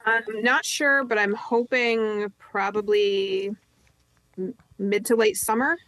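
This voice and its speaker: A woman's voice: mid-range voice, steady tone, slow pace, a bit bright, dry recording, poor audio. A woman author answering interview questions in an earnest, hesitant way, with fillers. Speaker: author guest.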